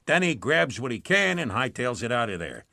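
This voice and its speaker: Cocky wisecracking police lieutenant, radio detective show, sharp forceful voice, swings around a lot, dry close sound. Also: male voice, medium pitch, sing-song, normal speed, vocal fry, dry recording, exaggerated voice. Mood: smug, impatient. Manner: bossy, wisecracking, slangy. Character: brash, smug, sarcastic police lieutenant. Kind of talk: detective radio drama acting.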